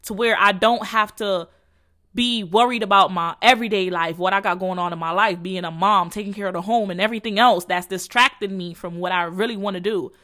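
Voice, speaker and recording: Young Jamaican woman, solo podcast host, bright and forward voice, casual profane slang. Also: medium pitch, steady tone, brisk. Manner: candid, profane, slangy and rambling. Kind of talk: casual solo chat to followers.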